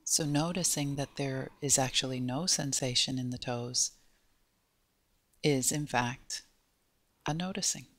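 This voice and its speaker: A woman guiding a body scan meditation in a gentle, unhurried way, with pauses between short phrases. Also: extremely low pitch, no echo. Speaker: meditation guide.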